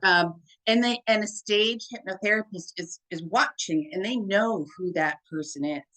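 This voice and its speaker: A woman with a deep, full voice, talking as a hypnotherapist guest in a casual interview, chatty and full of "like" and "right?". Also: moderate pace, a bit bright, poor audio. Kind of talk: casual conversation.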